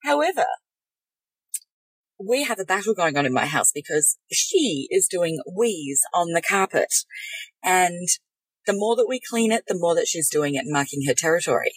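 A woman's voice: lowish voice, expressive, normal speed, long pauses, breathy edge, dry recording, poor audio. A woman with an Australian accent co-hosting a casual chat, talking in a confiding, earnest way. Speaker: co-host. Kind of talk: casual chat between co-hosts.

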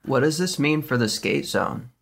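Low-key teen girl read by a woman, very deep for female, gravelly fry, earnest, table read. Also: lively intonation, moderate pace, heavily acted. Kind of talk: comedic script table read.

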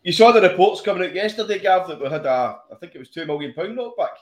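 A man co-hosting a podcast, chatting fast and casually, stumbling over restarts and swearing freely. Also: high-pitched, lively intonation. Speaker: podcast co-host. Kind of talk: casual football chat. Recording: echoey room.

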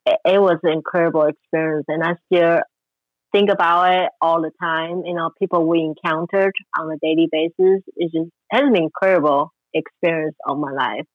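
A woman's voice: low-pitched, steady tone, moderate pace, no room sound. Woman from Taiwan, forties, interview guest, dry and slightly muffled line. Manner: warm storytelling, frequent fillers. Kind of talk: interview conversation.

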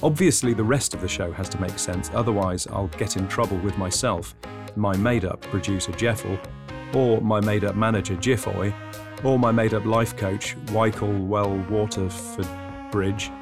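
Deadpan guy, low voice, rambling comedy podcast on his phone, echoey bathroom sound. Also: normal speed, over music. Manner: deadpan, rambling, drops articles. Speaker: podcast host. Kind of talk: absurdist comedy monologue. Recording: phone recording with reverb plugin, bathroom echo.

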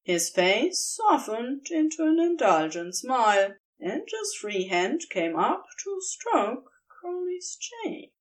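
Slow, expressive woman reading a story. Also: on the high side, rough recording. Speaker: podfic reader. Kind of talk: reading fan fiction aloud.

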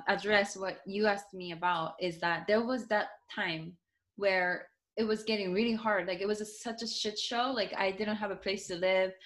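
A woman's voice: medium pitch, pushed and bright. A woman with a Japanese accent, Japanese as her first language, speaking as an author being interviewed, talking casually in loose restarts full of "like". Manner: talks in loose restarts with many "like" fillers. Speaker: guest, author.

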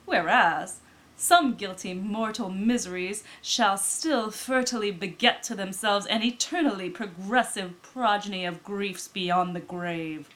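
A woman reading classic literary prose aloud as an audiobook narrator, with deliberate, dramatic phrasing. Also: mid-range voice, fairly bright tone. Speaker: audiobook reader.

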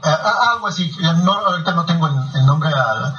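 A man's voice: on the high side, expressive, brisk, sharp tone. A man interviewing a guest on the radio and reading out names and addresses in a methodical way. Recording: echoey, distant, muffled radio sound, faint music.

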